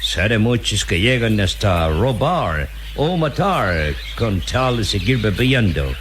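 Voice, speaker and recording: Sly villainous captain, swooping slow delivery. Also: male voice, fairly low pitch, sing-song. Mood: sly, coaxing. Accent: Latin American Spanish. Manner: sly, coaxing, patronizing, drawn-out hesitations. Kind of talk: melodramatic Latin American radio serial acting. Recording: noisy jungle ambience with birdsong.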